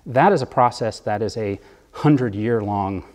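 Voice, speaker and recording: A man being interviewed as a bond fund manager, explaining economics in a measured way with occasional fillers. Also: lowish voice, a little mellow, long reverb tail.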